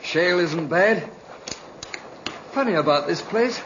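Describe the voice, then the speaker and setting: Cold cheating husband in old radio play, highish voice, curt n menacing, muffled noisy recording. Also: male voice, expressive, measured pace. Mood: detached. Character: cold, married male lover turning menacing. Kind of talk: 1950s radio drama acting.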